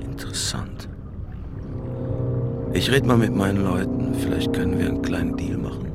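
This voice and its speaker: An actor playing a cool, calculating crime boss in a radio crime drama, with a deliberate, menacing way of talking. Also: male voice, low-pitched, natural voice. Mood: probing, guarded. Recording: background music under dialogue.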